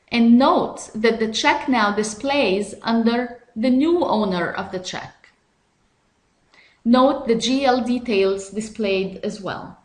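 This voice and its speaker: Slow, higher-pitched woman narrating a tutorial. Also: echoey room. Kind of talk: scripted step-by-step instructional narration.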